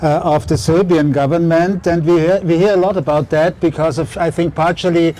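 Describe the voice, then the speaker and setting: Halting man with a mellow voice. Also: on the high side, steady tone. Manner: halting, many fillers. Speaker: event moderator. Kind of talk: public talk on a city tour. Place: outdoor city square.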